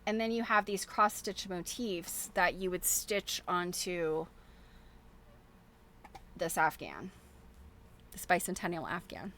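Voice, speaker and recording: A woman's voice: lowish voice, animated, takes it slowly, pauses often, fairly bright tone, a little hiss or hum. A middle-aged woman chatting casually as she shows things to viewers, thinking aloud in a rambling, chuckly way. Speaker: host of a quilting show. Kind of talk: casual show-and-tell chat.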